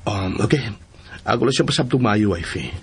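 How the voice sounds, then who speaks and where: A man playing an agreeable husband and young father in a Filipino radio drama, with a husky, raspy voice and a Filipino accent. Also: on the low side, animated, normal speed, full character voice. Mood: reassuring.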